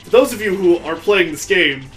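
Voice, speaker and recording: A man's voice: high voice, animated, normal speed, fairly bright tone, low-quality recording. A man hosting a let's play, giving casual, sarcastic commentary as he plays a video game. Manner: wry, sarcastic joking. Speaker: gaming video host playing the game. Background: video game music.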